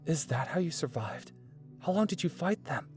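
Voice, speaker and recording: A man's voice: a little high, sing-song, normal speed, a little mellow, faint music behind. A man playing an anxious junior soldier who questions his senior nervously.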